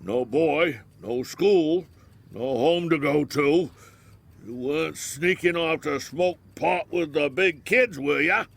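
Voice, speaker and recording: Old bookshop owner in a radio play, slow gravelly voice that swings around, kinda wry and needy. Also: male voice, a little high, heavily acted. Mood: wry, scolding. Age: older. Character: aging male bookshop owner, pleading, nagging, obsessed. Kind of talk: audio drama dialogue.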